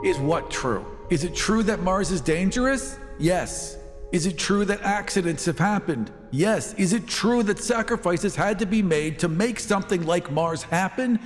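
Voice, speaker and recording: A man's voice: high-pitched, lively intonation, moderate pace, voice pushed up. Man playing a defensive colony founder, reverberant. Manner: evasive, insistent, clipped answers. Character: defensive, self-important male colony founder and engineer under fire. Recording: long reverb, music underneath.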